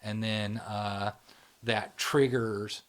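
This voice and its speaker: A man's voice: fairly low pitch, flat delivery, drawn-out words. A man hosting a casual conversation, explaining ideas in loose spoken runs and often checking in with "right?". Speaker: host, channel founder.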